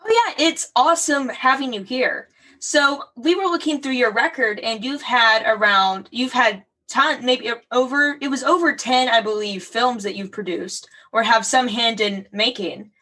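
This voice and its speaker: A woman's voice: on the high side, measured pace, sharp tone, slightly rough, far from the mic. A woman hosting an interview, upbeat and chatty, using fillers like "like" and "um". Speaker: interview host. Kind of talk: casual interview.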